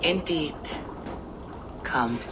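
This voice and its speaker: A woman playing a wise, serene Norse seeress in a video game, speaking in measured, poetic, gracious phrases. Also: low-pitched, noisy background. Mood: serene, reflective. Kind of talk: dramatic video game acting.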